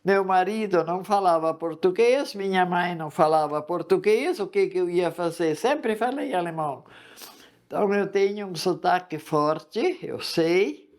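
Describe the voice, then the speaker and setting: Older lady w a strong german accent, talks real slow and firm, retired teacher. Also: medium pitch, a little mellow. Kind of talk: interview reminiscence.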